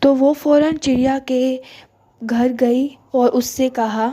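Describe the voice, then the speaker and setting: A woman's voice: high voice, steady tone, slow pace. A woman narrating a children's moral story as its storyteller.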